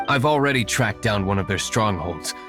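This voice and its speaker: An actor playing a cool, guarded young aristocratic vigilante in a video game, measured and matter-of-fact. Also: male voice, lowish voice, animated, music in the background, close to an everyday voice. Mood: composed.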